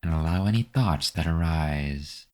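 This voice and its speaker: A man guiding a meditation with gentle, unhurried, scripted instructions. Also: a bass voice. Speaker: meditation guide. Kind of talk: scripted guided meditation narration.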